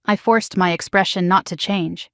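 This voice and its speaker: A woman narrating a first-person story in a calm, measured, even manner. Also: on the low side, no echo, studio quality.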